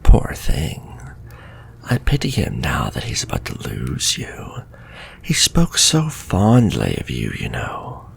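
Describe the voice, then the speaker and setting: A man voicing a sly, sadistic warlock villain in a flirtatious ASMR fantasy roleplay, with a slow, teasing, half-whispered delivery. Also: very deep voice, wildly swooping pitch, vocal fry, heavily acted. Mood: mocking pity.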